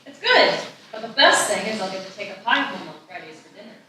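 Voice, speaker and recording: A woman's voice: mid-range voice, sing-song, brisk, bright, forceful tone. Bold teenage girl, read by a woman, very echoey. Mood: friendly. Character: bold, teasing, caring sixteen-year-old girl. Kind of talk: live screenplay table read. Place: live festival reading in echoey room. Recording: very echoey room, distant mic.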